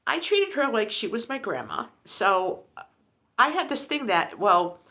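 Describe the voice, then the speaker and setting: Lively bright-voiced woman in her sixties. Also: fairly high pitch, measured pace. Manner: chatty, rambling storyteller. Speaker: lifestyle vlogger. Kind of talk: casual chat.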